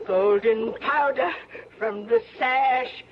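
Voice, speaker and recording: An actress playing a vengeful, menacing old granny witch in an old-time radio horror drama, with slow, incantatory delivery. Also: high voice, very animated, creaky, exaggerated voice. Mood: chanting curse. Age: older. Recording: dull old radio recording, noisy background.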